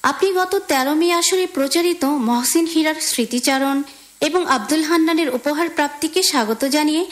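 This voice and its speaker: A woman co-hosting a radio show, reading out listener letters in an expressive, presentational way. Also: high voice, normal speed.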